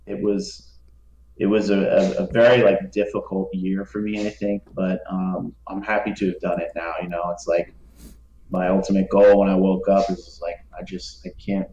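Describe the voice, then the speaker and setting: A man's voice: sits low, flat delivery, normal speed, warm tone. A man speaking as a guest artist in casual chat, modest and hesitant. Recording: muffled, echoey online call with hiss.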